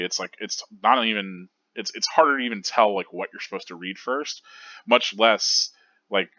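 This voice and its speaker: A man's voice: lowish voice, sharp tone, no room sound, muffled recording. A man hosting a manga reread show, giving casual analytical commentary in an enthusiastic, rambling way with lots of fillers and restarts.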